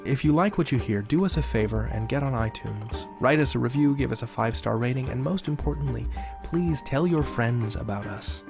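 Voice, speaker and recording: A male podcast host with a medium-pitched voice reads scripted announcements. He is playful and theatrical, with prominent music underneath. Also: fairly quick, gravelly.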